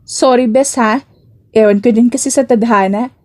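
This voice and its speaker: Coy, teasing young working woman for audio romance, read by a female narrator; slightly high, mellow voice. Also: fairly even intonation, moderate pace, lightly acted. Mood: playfully boastful. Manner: teasing, coy, mock-annoyed.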